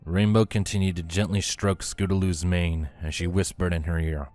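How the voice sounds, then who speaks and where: A man's voice: low voice, level tone, normal speed, a little mellow. A man reading a scripted story as its narrator. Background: music.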